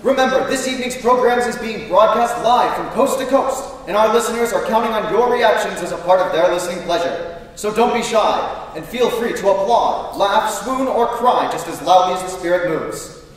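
A man's voice: sits high, lively intonation, normal speed. A man playing a grand, jovial 1940s radio show host, announcing theatrically on a stage. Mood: genial, showy. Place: large school theatre stage. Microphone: distant camera. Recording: echoey auditorium from far off, audience noise.

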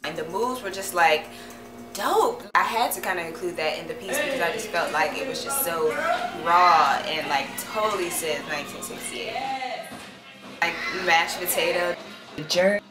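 A young American woman chatting casually to camera in a slangy, enthusiastic, expressive way. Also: lowish voice, moderate pace. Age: young adult. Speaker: choreographer. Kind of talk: casual vlog talk to camera. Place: small room indoors. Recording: roomy sound with loud music behind. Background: loud music.

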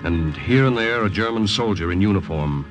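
An actor playing a proper, earnest young Allied spy, speaking and narrating in a measured, polite way in an old-time radio drama. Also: male voice, fairly low pitch, lively intonation, close to an everyday voice. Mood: measured narration. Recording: vintage radio recording with faint music.

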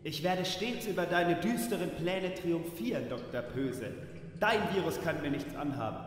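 A pompous, earnest superhero played by a man declaims in a high, very animated voice. It is a comic radio play, and the voice rings through a very echoey hall. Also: moderate pace, close to an everyday voice. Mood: indignant, heroic. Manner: declamatory, heroic. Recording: very echoey hall, faint noise.

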